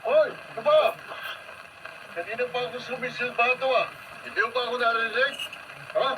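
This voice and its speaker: An actor playing an irritated, bossy traffic enforcer, scolding indignantly in a radio drama. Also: male voice, very high voice, normal speed. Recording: noisy radio drama with clear background noise.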